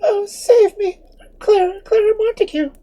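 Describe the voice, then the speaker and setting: A man voicing a frightened, breathless young woman who pleads in a high crying voice. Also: measured pace, dark tone. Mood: desperate pleading. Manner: breathless high-pitched cry.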